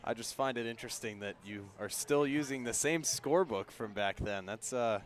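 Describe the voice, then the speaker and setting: A man's voice: medium pitch, normal speed. An American man giving conversational color commentary on a live baseball radio broadcast at a ballpark. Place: outdoors at a ballpark.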